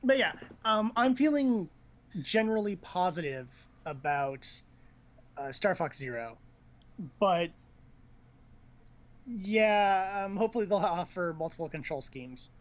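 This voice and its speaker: A man's voice: sits high, lively intonation, a little slow, long pauses. A man hosting a casual gaming chat, rambling and thinking aloud with blunt opinions. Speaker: host of a gaming news show.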